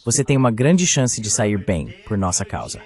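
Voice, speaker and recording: A man delivers a translated voice-over of a heated political exchange. His voice sits a little low, and his intonation is very lively, moving widely up and down. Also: moderate pace.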